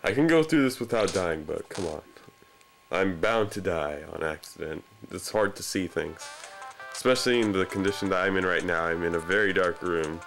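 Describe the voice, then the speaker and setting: Low-pitched sarcastic guy over game music. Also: normal speed, vocal fry, a bit bright. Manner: sarcastic, irreverent, profane. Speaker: let's play gamer. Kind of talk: casual improvised game commentary. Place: very dark room, filming a screen. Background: video game music.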